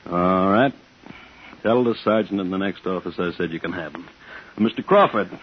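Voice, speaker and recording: Man acting weary, low-voiced police chief on old radio. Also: natural voice. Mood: resigned. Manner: tired, halting, guarded. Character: weary, evasive police chief of a frightened town. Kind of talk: 1950s radio thriller acting. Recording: dull, faintly noisy 1950s radio broadcast.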